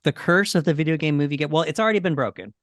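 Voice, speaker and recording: A man hosting a casual podcast about movies, thinking aloud in a halting way. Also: fairly high pitch, a bit bright, no echo, studio quality. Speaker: podcast host.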